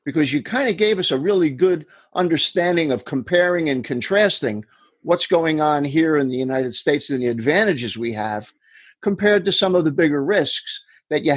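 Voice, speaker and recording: A man's voice: on the high side, breathy edge. A man hosting an interview, asking long encouraging questions that prompt his guest to tell his story. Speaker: interview host.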